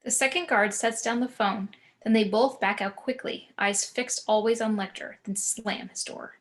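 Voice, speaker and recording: A woman reading a screenplay's action descriptions as narrator, in a measured, descriptive way. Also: a little high, fairly even intonation. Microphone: video call.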